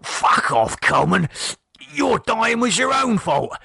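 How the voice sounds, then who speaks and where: A man's voice: a little high, wildly swooping pitch, takes it slowly, gravelly, bright, forceful tone, breathy edge, voice pushed up, exaggerated voice. A man voicing a defiant, swearing cockney thug with a Cockney accent. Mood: angry. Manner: aggressive, swearing.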